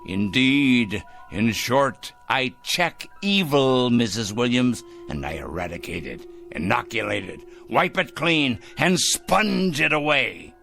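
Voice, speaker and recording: Pompous, sharp-voiced crank, wildly swooping. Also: male voice, mid-range voice, big pitch swings, slow pace, vocal fry, few pauses, faint music behind, heavily acted. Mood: self-righteous, menacing. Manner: pompous, condescending, precise and theatrical. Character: fussy, sanctimonious, secretive male crank obsessed with judging evil. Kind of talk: radio drama acting.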